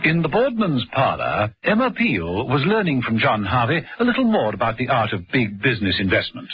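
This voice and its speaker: A man's voice: mid-range voice, huge pitch swings, moderate pace, fairly bright tone, breathy edge, soft background music, exaggerated voice, poor audio. A man narrating a scripted vintage radio spy drama as a wry, dramatic storyteller.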